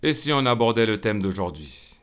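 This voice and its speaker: A man's voice: very animated, moderate pace, a bit bright. A middle-aged man hosting a solo podcast, joking and chatty, full of asides and self-mockery. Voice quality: smooth low voice. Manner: playful, self-mocking, lots of asides and "hein". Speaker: podcast host. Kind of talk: casual solo podcast monologue.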